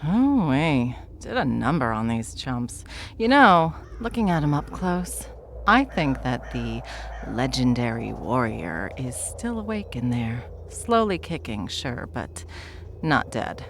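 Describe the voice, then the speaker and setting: A woman playing an ancient, smug, wry stranger in an ASMR fantasy roleplay, with theatrical, taunting delivery and a gravelly voice. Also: a bass voice, big pitch swings, a little hiss or hum, heavily acted. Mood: smug teasing. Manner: sardonic, theatrical, unhurried taunting. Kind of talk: ASMR audio roleplay acting.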